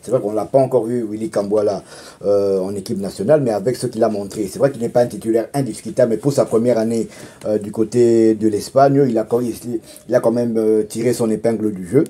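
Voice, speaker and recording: A man's voice: on the low side, steady tone, fairly quick, warm tone, breathy edge. A man hosting a casual football talk show, speaking with many hesitations, fillers and restarts.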